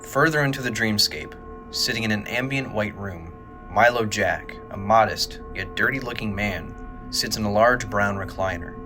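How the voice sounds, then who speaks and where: Male narrator for audio drama, lower register, measured dramatic storytelling, scripted read over prominent music. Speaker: narrator, writer and director.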